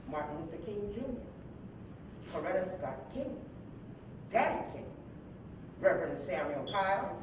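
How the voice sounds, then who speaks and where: A woman's voice: mid-range voice, dull audio. A woman reading scripted stage directions aloud as narrator at a live staged reading. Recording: very echoey hall, distant mic, noisy.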